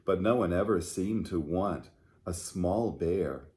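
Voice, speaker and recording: Slow-paced, low-voiced man at children's storytime. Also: animated, warm tone. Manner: simple, unhurried phrasing with questions to young listeners. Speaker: pastor hosting children's storytime. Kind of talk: gentle storytime talk and picture-book reading for children.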